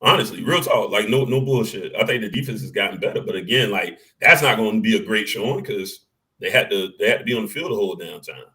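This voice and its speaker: A man with a deep, bassy voice, co-hosting a casual sports talk show and arguing his points with animated, salty energy. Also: fast talker, low-quality recording. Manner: animated, argumentative, swears casually.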